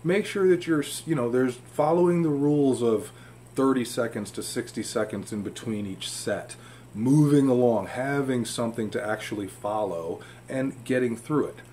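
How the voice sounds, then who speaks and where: A man's voice: lowish voice, a little mellow. A man giving informal, emphatic fitness advice to camera in a home room. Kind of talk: informal instructional talk to camera. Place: indoor home room with fish tanks. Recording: clear room hum and background noise.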